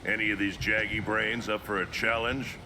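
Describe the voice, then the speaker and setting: An actor playing a boisterous, hearty older admiral with a commanding, informal manner in a video game cutscene. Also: male voice, lowish voice, flat delivery, normal speed, background noise, natural voice. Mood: rousing, boisterous. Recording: loud music behind the voice.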